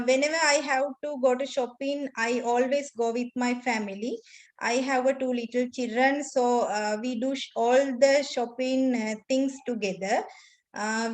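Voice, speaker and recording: A high-voiced woman in her thirties, originally from Sri Lanka, answers test questions over a video call. She speaks hesitantly, filling gaps with pauses and fillers and keeping her phrasing simple. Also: poor audio. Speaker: exam candidate.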